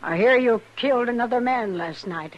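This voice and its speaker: An actress playing a cold, vengeful frontier widow with curt, defiant, rustic speech in a Western radio drama. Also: female voice, on the high side, moderate pace, highs cut off, lightly acted. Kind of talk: 1950s Western radio acting.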